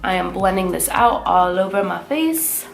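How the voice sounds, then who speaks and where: A young woman talks through a makeup tutorial in an upbeat, chatty way, full of little fillers. Her voice sits at an everyday middle pitch and sounds stuffy and nasal from a cold. Soft music plays faintly underneath. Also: lively intonation, moderate pace, gravelly. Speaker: beauty vlogger. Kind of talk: casual makeup tutorial talk.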